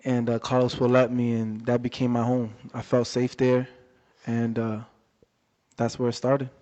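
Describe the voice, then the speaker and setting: A young man being interviewed about his boxing life, talking in a relaxed, halting way with many fillers. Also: mid-range voice. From Worcester, Massachusetts area. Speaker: boxer, interview guest. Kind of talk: casual interview chat. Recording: dull lo-fi audio in a reverberant room.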